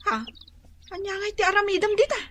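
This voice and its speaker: A woman's voice: very high-pitched, steady tone, a bit bright, slight background noise. A woman playing an envious gossiping neighbor. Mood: gossipy. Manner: complaining, gossipy, quick retorts. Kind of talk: radio drama acting.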